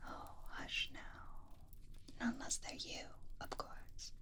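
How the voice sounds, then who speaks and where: Whispering, flirtatious vampire woman acted by a woman. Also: pushed and bright. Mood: conspiratorial, flirtatious. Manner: teasing, intimate, languid with lingering pauses. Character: flirtatious, possessive female vampire, sardonic and doting. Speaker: ASMR roleplay performer. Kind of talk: whispered ASMR fantasy roleplay.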